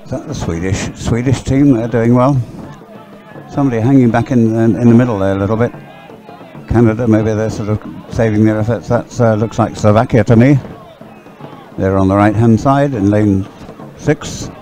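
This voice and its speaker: A man giving loose, halting live race commentary outdoors, often rambling and thinking aloud. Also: lowish voice. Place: outdoors beside a race course. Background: music, loudspeakers at a sports venue.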